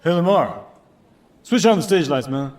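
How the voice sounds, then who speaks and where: A man playing a relaxed rock-and-roll bar singer who croons, in a radio comedy drama. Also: a little high, moderate pace, natural voice. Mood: easygoing.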